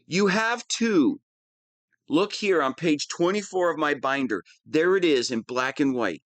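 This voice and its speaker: A man's voice: a little high, expressive, unhurried, a bit bright, dry recording. A man playing a whiny, pompous wannabe supervillain who is easily flustered, in a scripted comedic audio roleplay. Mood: defensive, insistent.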